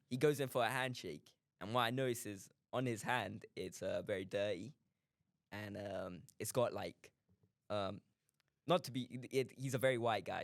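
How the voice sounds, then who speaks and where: A man from Pakistan tells a strange anecdote as a radio guest, halting and full of fillers. His voice is low and gravelly, and the close studio mic leaves it dry. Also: no echo. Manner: hesitant, lots of uh and um, laughs at himself. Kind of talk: telling an anecdote on air.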